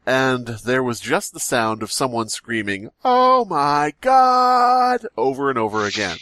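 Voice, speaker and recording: A man's voice: mid-range voice, huge pitch swings, slow, sharp tone, a little hiss or hum. A man co-hosting a comedy podcast, reading a crude parody story aloud with laughs and swearing. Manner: crude, laughing, breaks into mock song. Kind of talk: casual comedic reading and banter.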